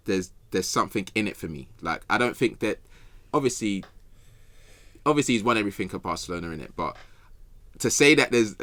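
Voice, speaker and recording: Deep-voiced young London man debating football. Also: fairly bright tone, no room sound. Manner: slangy, emphatic, conversational. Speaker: podcast guest pundit.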